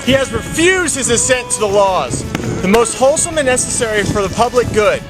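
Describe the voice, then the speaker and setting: A man's voice: extremely high pitch, lively intonation, fairly quick, music in the background. A man reading a historic document aloud in a formal style. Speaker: reader in a group reading.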